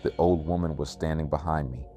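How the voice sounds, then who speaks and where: A man narrating a scripted first-person horror story in a measured, ominous way, with music behind him. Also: extremely low pitch, steady tone, warm tone. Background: music bed.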